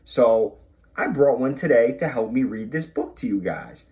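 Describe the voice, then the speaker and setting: A man, a police officer, talking and reading to children in an expressive way, addressing them directly. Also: medium pitch, moderate pace, husky, muffled recording. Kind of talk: children's read-aloud and talk to pupils.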